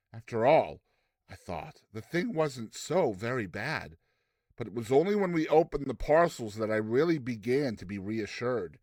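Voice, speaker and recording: Male narrator, storybook reading, theatrical and expressive, lively swings in pitch, dry close sound. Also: dry recording. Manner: theatrical, expressive, deliberate delivery. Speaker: narrator, reader.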